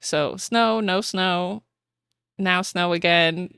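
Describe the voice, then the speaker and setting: A woman's voice: lowish voice, takes it slowly, a bit bright, smooth, dry recording, clean studio sound. A woman with a Canadian accent hosting a podcast, chatting casually and acting out quotes, laughing often. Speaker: podcast host. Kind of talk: casual chat.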